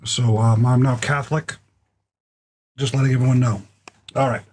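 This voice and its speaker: A man hosting a live talk stream while losing his voice, speaking haltingly with many fillers in an echoey home room. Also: mid-range voice, steady tone, a little mellow, slight background noise. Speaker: show host. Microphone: close desk mic. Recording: echoey room, mic held close.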